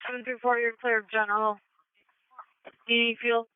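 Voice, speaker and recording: A woman's voice: fairly high pitch, flat delivery, moderate pace. A woman working as an emergency dispatcher, giving clipped, procedural announcements over a two-way radio. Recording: phone-like police scanner radio audio.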